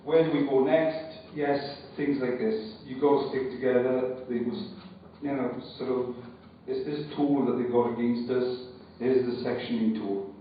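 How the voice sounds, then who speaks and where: Man, audience speaker at a meeting, halting and careful, heard from a distance in a reverberant hall with dull sound. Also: medium pitch, fairly even intonation, a little hiss or hum. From Wales. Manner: hesitant, repeats words, cautious. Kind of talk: unscripted public speech. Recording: echoey hall, far from mic, dull sound.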